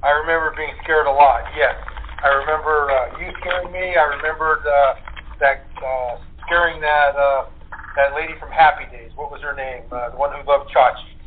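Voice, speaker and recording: American male guest, hesitant and casual, slightly high voice, narrow phone line with faint noise. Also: fairly even intonation. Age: middle-aged. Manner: halting, hesitant, searching for memories. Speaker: cast member guest. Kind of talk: casual chat, reminiscing. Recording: tinny noisy phone line.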